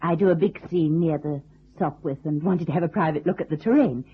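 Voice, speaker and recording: An actress playing a haughty, poised movie star with a prim, formal manner in a radio detective drama. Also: female voice, sits low, brisk, husky. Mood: cool, evasive. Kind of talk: old-time radio detective drama acting. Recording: muffled, narrow old radio recording.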